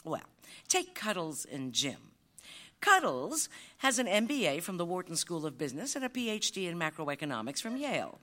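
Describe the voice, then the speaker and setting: A woman's voice: fairly low pitch, sing-song, moderate pace, fairly bright tone. A woman acting a breezy, nonchalant talking dog who runs a business, in a live audio theater comedy. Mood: breezy, proud. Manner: nonchalant, matter-of-fact. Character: breezy, self-assured female business-boss dog.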